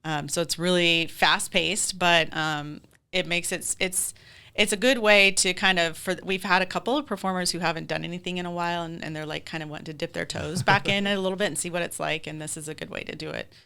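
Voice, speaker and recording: A woman's voice: fairly low pitch, fairly even intonation, a little fast, vocal fry, sharp tone, clear, resonant tone. An American woman chatting casually and informally as a theater director being interviewed, explaining things in a conversational way.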